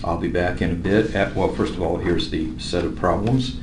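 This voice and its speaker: A man teaching math, working through problems step by step in a measured way with pauses. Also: sits low, steady tone. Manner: measured, with pauses while writing. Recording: roomy echo with faint background noise.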